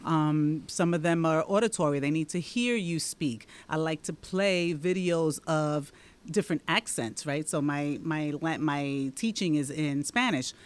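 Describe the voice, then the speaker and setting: A woman with a Dominican accent, answering in a steady, explanatory way and often tagging her points with a questioning "right?". She speaks as a guest in a conversational interview. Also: low voice, a little slow, smooth. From Dominican Republic. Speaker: guest, township committeewoman and teacher.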